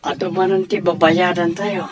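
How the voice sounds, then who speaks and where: A man's voice: high-pitched, level tone, normal speed, natural voice. A man acting in an Ilocano comedy radio drama, with an Ilocano Filipino accent. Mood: conversational. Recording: music bed behind the voices.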